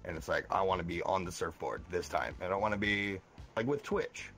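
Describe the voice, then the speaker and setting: Young man, guest streamer, bright voice, lively swinging pitch, faint music behind. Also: fairly quick. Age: 20s. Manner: animated, swearing, jumps into high mock voices. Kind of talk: casual podcast chat.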